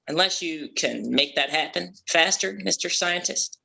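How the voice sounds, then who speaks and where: Someone reading as stubborn shark hunter captain, bossy n sarcastic, folksy, sharp bright voice. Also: medium pitch, a little slow, creaky, heavily acted. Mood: blustering. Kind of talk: amateur script table read.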